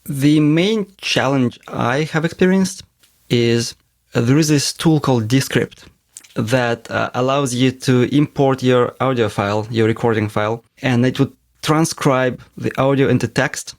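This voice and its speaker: Guy, gravelly creaky voice, talks slow and thoughtful, in his 30s. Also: medium pitch, no echo. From Russia. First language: Russian. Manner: measured, thoughtful, explains at length. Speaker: podcast co-host, interviewee. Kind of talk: reflective conversational interview.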